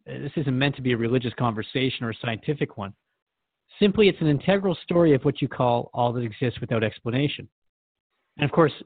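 A man's voice: medium pitch, no room sound, phone-quality sound, natural voice. A man playing a thoughtful, inquiring radio host, conversational and reflective as he interviews in a radio drama. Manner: reflective, questioning.

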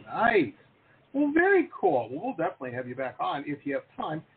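A man's voice: on the high side, wildly swooping pitch, fairly quick, crowd noise. A man hosting a podcast interview, chatting casually and teasing his guest with jokes. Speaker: podcast host. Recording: tinny phone-like call audio.

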